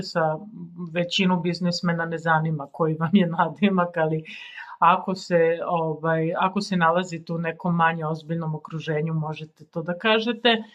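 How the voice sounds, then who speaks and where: A woman in her forties from Serbia with a Serbian accent, a native Serbian speaker giving an informal language lesson in a halting, deliberate way. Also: fairly low pitch. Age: 40s. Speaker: language teacher. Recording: dull lo-fi sound.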